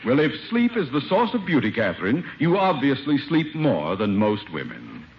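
A gallant, wealthy playboy, suave and eager, with flowery flattery and very wide swoops of pitch. It is 1950s radio comedy, on a dull old recording with some hiss. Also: male voice, normal speed. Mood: gallant, flattering.

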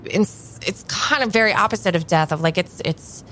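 Tarot reader for a female actor: blunt, exasperated, sardonic, slightly low pitch, improvised radio drama, faint background rumble. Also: fairly bright tone, close to an everyday voice. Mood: reluctant, wry. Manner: curt, exasperated, sighing, halting. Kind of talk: improvised audio drama dialogue.

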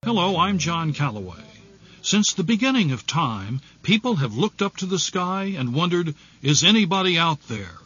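A man with a husky voice, presenting scripted documentary narration. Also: a little high, big pitch swings, moderate pace, fairly bright tone, highs cut off, faint music behind.

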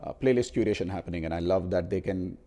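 A man with a low voice talks over tech in a relaxed chat. His tone is mellow and dark, and he builds his point with many restarts. His first language is Hindi. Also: quick pace. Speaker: co-host. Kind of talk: casual tech discussion.

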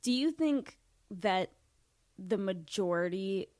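A woman's voice: mid-range voice, animated, takes it slowly, darkish tone. A young woman co-hosting a podcast, speaking in a confident, dry, matter-of-fact way. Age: young adult. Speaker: podcast co-host. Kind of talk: casual chat.

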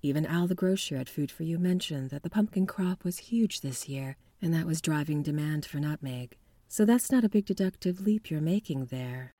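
A woman's voice: deep voice, moderate pace, few pauses, dark tone, no room sound. A woman playing a knowing, matter-of-fact paranormal sleuth in a 1940s noir radio drama.

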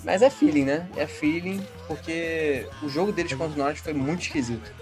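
A man's voice: on the high side, fairly quick, music in the background. A man from Rio de Janeiro with a Carioca accent, a guest on a podcast chatting casually and enthusiastically about football. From Rio de Janeiro, Brazil.

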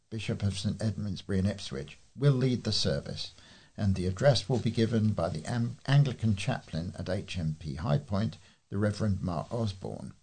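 Guy reading out local news, bit low and husky, kinda informal. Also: a little mellow. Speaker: volunteer local news reader. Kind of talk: reading news articles aloud.

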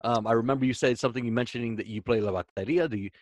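A man of Mexican origin hosting a casual interview, easygoing and halting, with many fillers. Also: medium pitch.

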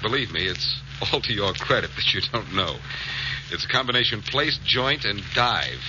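An actor playing a suave, wisecracking detective hero with wry, teasing banter and an American accent, in 1940s radio drama style. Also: male voice, lowish voice, sing-song, moderate pace. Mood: smooth, teasing. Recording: muffled, noisy old radio recording.

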